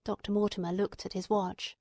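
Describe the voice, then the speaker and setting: A female audiobook narrator reads classic prose from a script. Her pitch is average for a woman, and the recording is dry, with hardly any room sound. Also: flat delivery, a little fast, darkish tone, no echo.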